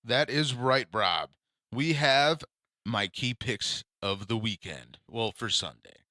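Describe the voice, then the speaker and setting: A man with a low yet bright, forceful voice gives sports picks on a casual podcast. He sounds confident and trades jokes with his co-host. The recording is dry and close. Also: expressive, takes it slowly, dry recording. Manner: confident, joking, banter.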